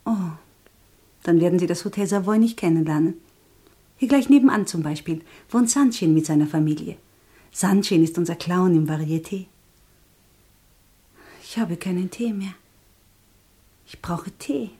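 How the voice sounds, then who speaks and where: Woman acting young showgirl, secretive, chatty, very mellow lowish voice, confiding, radio play, long reverb. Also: normal speed, long pauses, close to an everyday voice. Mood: inviting, secretive. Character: Stasia, young variety dancer, secretive, chatty, dreaming of escape. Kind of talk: radio drama dialogue. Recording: long reverberant room sound.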